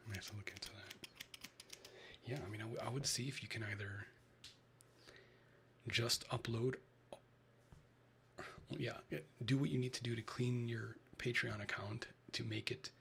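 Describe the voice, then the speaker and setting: Man, Chicago Midwestern accent, soft whispering, bright gravelly edge, chatty live ASMR streamer. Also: medium pitch, a little hiss or hum.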